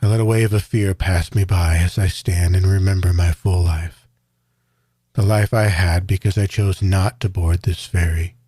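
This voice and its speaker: A man's voice: sits low, steady tone, normal speed, warm tone, breathy edge, dry recording, clean studio sound. A man playing a solemn, regretful character in a grave, reflective dramatic monologue. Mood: solemn, resolved. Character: solemn man facing fear and old regrets. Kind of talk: dramatic first-person monologue.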